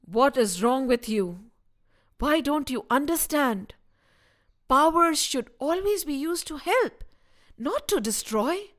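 A wise old sage, calm and stern, as a woman storyteller puts him on, speaking in a quieter, lower register than her telling. He admonishes without raising his voice, a steady storybook elder. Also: slow.

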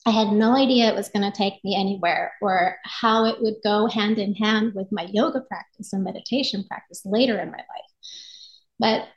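A woman's voice: medium pitch, moderate pace, muffled recording. A middle-aged woman with an American accent, telling her personal story in an earnest, articulate, reflective way as an interview guest. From Japan. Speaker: yoga and meditation instructor, podcast guest. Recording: roomy echo on voice.

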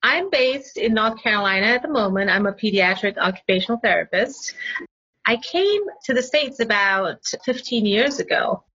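A woman's voice: on the high side, lively intonation, moderate pace, bright, forceful tone, breathy edge, dull audio. A woman in her forties from Malaysia, a pediatric occupational therapist, telling her story in a friendly way as a guest. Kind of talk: casual interview.

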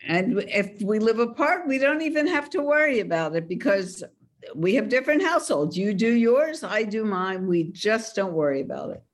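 A woman speaks with calm assurance, stressing her points with short, firm phrases as she answers questions. She sounds like a seasoned advice author in an interview. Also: medium pitch, moderate pace. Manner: confident, emphatic advice-giving. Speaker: author and dating expert guest.

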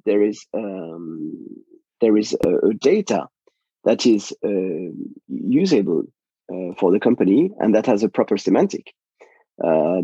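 Hoarse French man, halting speech. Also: fairly low pitch, mellow, dry recording, muffled recording. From France. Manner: halting, many fillers and restarts. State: losing his voice. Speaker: guest. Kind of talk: technical interview.

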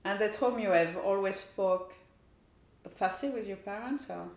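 A woman interviewer speaks at a medium pitch in a room with plenty of echo. Her questions are informal and tentative, often trailing off, and phrased like a non-native speaker's. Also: unhurried. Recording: echoey room.

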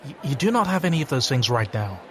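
A man playing a sober, literal-minded alien in an audio drama, deadpan and formal. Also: medium pitch, fast. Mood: deadpan, serious. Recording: faint background ambience noise.

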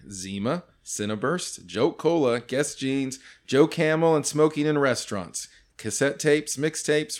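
Middle-aged guy hosting a chatty podcast, goofy and relaxed, mid-pitched voice, laughs a lot. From California. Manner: jokey, loose, laughs often. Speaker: podcast host. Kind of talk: casual podcast chat.

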